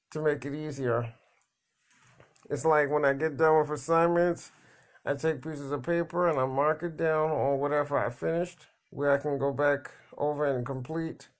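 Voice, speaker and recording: Man vlogger, slow halting delivery, fairly high voice, blunt and plain, lots of restarts. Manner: halting, repeats words, many fillers. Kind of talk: casual rambling monologue.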